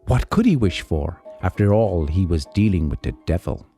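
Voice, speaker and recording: Deep, mellow male storyteller with music. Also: lively intonation. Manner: theatrical, deliberate phrasing. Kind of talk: dramatic scripted folk-tale narration.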